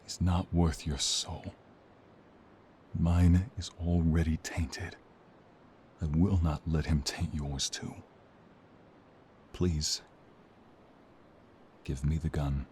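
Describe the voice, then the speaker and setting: An actor playing a devoted, protective mobster husband, earnest and intense, with a husky voice in a dramatic audio role-play. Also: male voice, extremely low pitch, level tone, darkish tone, slight background noise. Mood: gentle pleading. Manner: slow, intense, emotional pleading.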